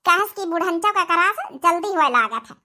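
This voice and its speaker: A woman's voice: very high-pitched, animated, normal speed, sharp tone, full character voice. A woman with an Awadhi accent playing a selfish, boastful younger daughter-in-law who scolds her relatives in a cartoon comedy. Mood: resentful, boastful.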